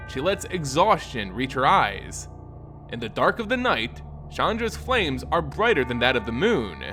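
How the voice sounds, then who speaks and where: A man narrating a scripted fantasy story in a dramatic, solemn and measured storytelling manner. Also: medium pitch, fairly bright tone. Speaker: lore narrator.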